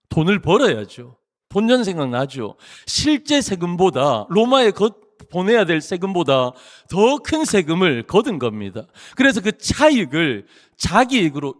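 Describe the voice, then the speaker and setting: A middle-aged man from Busan, South Korea, preaching a sermon as pastor in a church sanctuary, in an animated, jokey way with emphatic rising phrases. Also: high voice. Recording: long reverb of a large church hall.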